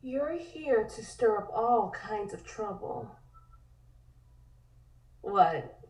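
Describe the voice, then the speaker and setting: A woman's voice: medium pitch, expressive, very slow, darkish tone. A woman voicing a cryptic, knowing and impatient woman in a table read, recorded distant in an echoey room. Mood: knowing, scornful. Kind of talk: dramatic table read of a comic script.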